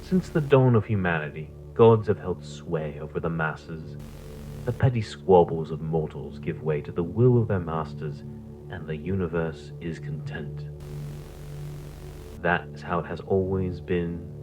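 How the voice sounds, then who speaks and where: Man playing a deep, slow, ancient villain. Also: over music, rough recording. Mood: solemn, grandiose. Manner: solemn, deliberate, portentous. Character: centuries-old male cult leader villain, grandiose and menacing. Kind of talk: scripted villain monologue in audio horror.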